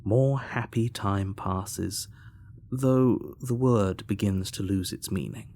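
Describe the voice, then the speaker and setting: A man's voice: lowish voice, takes it slowly, vocal fry, warm tone. An actor playing a fussy, insistent male narrator in a visual novel, articulate and pedantic, theatrical and dryly ominous. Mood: soothing, ominous. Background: music.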